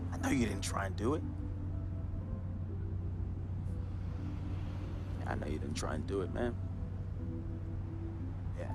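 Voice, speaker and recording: An actor playing a bitter, menacing young street-wise man from a basketball star's circle, with a theatrical, taunting New delivery in a dramatic game cutscene. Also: fairly high pitch. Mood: sly, reassuring. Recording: music under the voice. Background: music.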